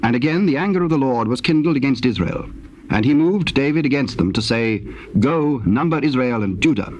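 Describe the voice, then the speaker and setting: Guy narrating old testament, formal and measured, medium pitch, slightly noisy old tape. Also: lively intonation, darkish tone, muffled recording. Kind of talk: scripted scripture narration, King James Bible. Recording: old cassette tape, faint background noise.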